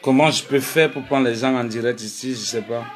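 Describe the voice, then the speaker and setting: A man's voice: medium pitch, fairly even intonation, brisk. A middle-aged man from Cameroon hosting a live stream, ranting angrily and emphatically at his critics, then fumbling and muttering. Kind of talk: heated live-stream rant to viewers.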